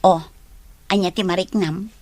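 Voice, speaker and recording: A woman's voice: lowish voice, steady tone, moderate pace, darkish tone, no echo, lightly acted. A woman with an Ilocano Filipino accent acting as an outspoken, teasing young best friend in a radio drama. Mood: concerned, prodding.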